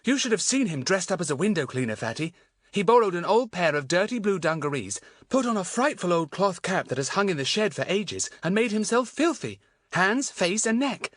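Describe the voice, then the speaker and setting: A man voicing a brisk, chatty schoolgirl in a children's audiobook, eager and emphatic. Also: high-pitched, big pitch swings, fairly bright tone, dry recording, clean studio sound, pitched above the performer's own voice, exaggerated voice. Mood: amused.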